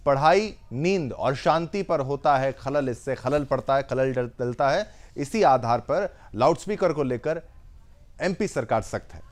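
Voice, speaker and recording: A man anchoring TV news in a headline style, emphatic and repeating key phrases. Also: medium pitch.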